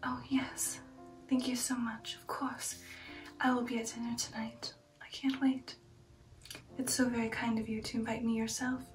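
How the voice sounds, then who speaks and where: A breathy, whispering vampire noblewoman, played by a woman, tells her tale in an intimate ASMR roleplay. Her voice is fairly high and evenly pitched, with genteel poise and slow, sensual pauses. It sits in a roomy echo with music behind it. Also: level tone, distant mic, natural voice. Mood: gracious, poised. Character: female aristocratic vampire, poised, sensual, recounting her past. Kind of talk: ASMR roleplay storytelling, whispered and soft-spoken.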